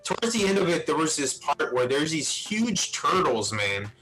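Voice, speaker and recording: Bright-voiced man hosting casually over music. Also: on the high side, very animated, normal speed, poor audio. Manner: informal, saying "man" and "bro" often. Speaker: host. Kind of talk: casual chat about video game movies. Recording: music playing behind the voice.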